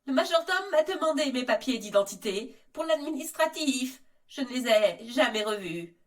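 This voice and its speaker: A woman playing an earnest young student testifying as an exploited servant, deliberate and formal in a scripted interview. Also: fairly high pitch, lively intonation, natural voice. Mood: bitter. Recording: voice distant from microphone.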